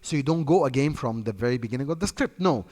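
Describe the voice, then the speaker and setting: A man's voice: mid-range voice, expressive, fast, a little mellow. Egyptian-accented man coaching in a reverberant hall. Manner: repetitive, emphatic coaching, talks off the cuff. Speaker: sales trainer. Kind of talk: instructional talk to trainees.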